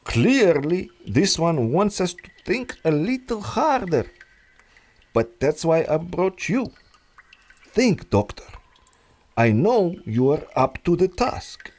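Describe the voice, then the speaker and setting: A man's voice: on the high side, sing-song, takes it slowly, a little mellow. An actor playing a suave, scheming male villain who speaks in a condescending, theatrical way. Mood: smug.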